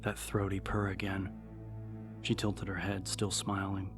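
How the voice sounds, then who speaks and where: A man narrating dramatic fiction as an audiobook, with measured, suspenseful delivery. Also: sits low, completely flat delivery, faint music behind.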